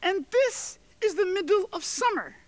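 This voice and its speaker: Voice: very high-pitched, animated, moderate pace, dry recording. A performer voicing a vain, bossy female pig diva in a puppet comedy. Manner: haughty, imperious.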